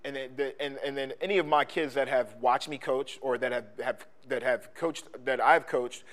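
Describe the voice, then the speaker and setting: A man co-hosting a podcast, speaking in a casual conversation, halting with many restarts and ums. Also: mid-range voice, fairly even intonation, fairly bright tone. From Philadelphia. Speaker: podcast co-host; sports coach and trainer.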